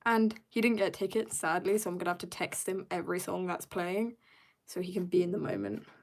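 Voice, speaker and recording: A teenage girl from South Africa chatting casually to her viewers while she draws, rambling and joking at herself, sometimes dropping to quiet mutters. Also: fairly low pitch, steady tone, brisk. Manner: chatty, rambling, self-mocking asides. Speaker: art streamer. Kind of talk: casual chat while drawing.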